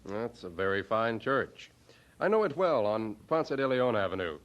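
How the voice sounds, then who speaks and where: An actor playing a kindly older seminary dean in a radio drama, speaking in a deliberate, avuncular way. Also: male voice, fairly low pitch, big pitch swings, highs cut off. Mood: kindly, thoughtful.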